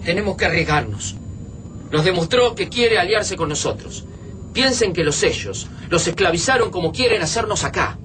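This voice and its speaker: An actor playing an analytical, wary but decisive resistance fighter in a radio drama, with an Argentine Rioplatense accent. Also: male voice, sits high, lively intonation, moderate pace, fairly bright tone. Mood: urgent, persuasive. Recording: clearly noisy, sound-effect ambience.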